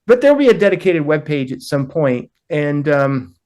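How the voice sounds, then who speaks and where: Man in his fifties, fairly high-pitched, rambling, self-deprecating guest. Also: sing-song, normal speed, a little mellow. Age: 50s. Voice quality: deep voice. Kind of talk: casual chat.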